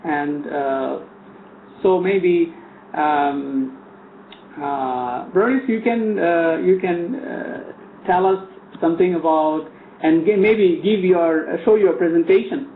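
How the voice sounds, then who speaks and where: A man hosting an informal online English lesson, speaking in simple, halting phrases and often checking with "right?". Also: on the high side, vocal fry. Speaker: English club host and teacher. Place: online group call. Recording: roomy, dull lo-fi sound, faint noise.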